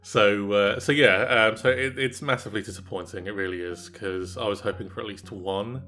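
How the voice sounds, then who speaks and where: A man's voice: on the low side, fairly even intonation, normal speed, sharp tone. A young man co-hosting a casual gaming chat, nasal from a coming cold, rambling with lots of fillers. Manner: rambling, filler-heavy, disappointed. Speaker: gaming channel co-host. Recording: faint background music.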